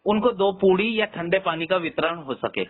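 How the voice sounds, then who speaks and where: A man talks over a tinny, narrow phone connection. His voice is on the high side. He is polite and agreeable, phrasing his points with some hesitation. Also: animated, normal speed, slightly rough. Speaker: caller, community youth organisation leader.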